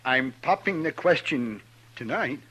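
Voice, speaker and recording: An actor playing a shy, earnest small-town handyman in a 1950s radio Western, with a polite, halting, effusive manner. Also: medium pitch, animated, pushed and bright, slightly rough, full character voice. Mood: earnest, bashful. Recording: vintage 1950s radio recording.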